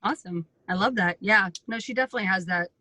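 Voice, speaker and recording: A woman from Florida chats and jokes through an introduction of herself. Her voice is deep and gritty, yet it comes across bright and forceful. It sits close and dry on the mic. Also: fast talker, no echo. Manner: chatty, joking. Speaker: voice actor guest. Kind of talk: casual self-introduction.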